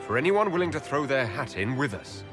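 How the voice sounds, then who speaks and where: A man's voice: medium pitch, sing-song, brisk, over music, close to an everyday voice. A man playing a resolute, diplomatic elven leader in a video game, speaking with earnest assurance. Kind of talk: video game cutscene acting.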